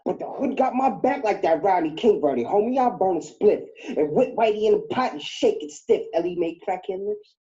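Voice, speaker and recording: A man raps taunting, rhythmic battle verses in a dark, mellow tone. The recording is dull and lo-fi, with the top end muffled away. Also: high voice, lively intonation, fairly quick. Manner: taunting, rhythmic rap delivery. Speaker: battle rapper.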